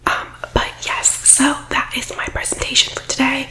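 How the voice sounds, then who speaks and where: A woman playing a pushy, evasive boss-babe recruiter in a soft-spoken whispered ASMR roleplay, sounding faux-professional and reassuring while tagging points with "okay?". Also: normal speed, close to an everyday voice. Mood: coaxing, confident.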